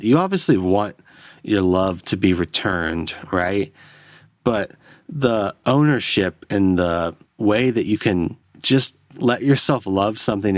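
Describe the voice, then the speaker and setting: A man's voice: deep voice, dry recording. A man hosting a solo film podcast, rambling and self-deprecating, swearing as he analyses a movie into a close microphone. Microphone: close studio microphone.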